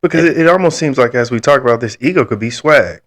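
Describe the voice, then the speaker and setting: A man with an ordinary mid-range voice co-hosts a casual podcast. He asks probing questions and presses his points in a relaxed, conversational way. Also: medium pitch, quick pace. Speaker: podcast co-host.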